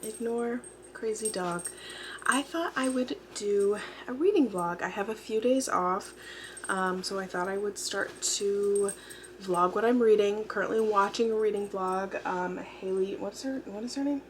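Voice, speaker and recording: A young adult woman with a hoarse, fading voice, chatting casually about what she reads, rambling with fillers and self-corrections. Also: mid-range voice, a little slow, slight background noise. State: hoarse, losing her voice. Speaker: book vlogger. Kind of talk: casual chat. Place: at home with a dog nearby. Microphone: camera mic.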